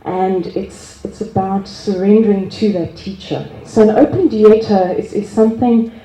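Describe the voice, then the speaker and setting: A woman telling a gathering about her experience in a relaxed, self-mocking way. She laughs easily and often restarts her sentences. Her mellow voice carries through an echoey room over a microphone. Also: mid-range voice, unhurried. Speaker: group speaker sharing experience. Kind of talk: casual personal sharing at a gathering. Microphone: handheld microphone.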